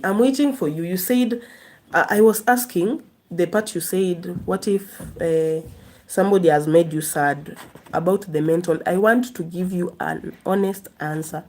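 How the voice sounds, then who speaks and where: A chatty woman hosts a relaxed live stream, talking straight to her viewers and laughing often. Her voice sits a little low and sounds mellow and warm. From Kenya. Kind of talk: casual live chat reading viewer comments.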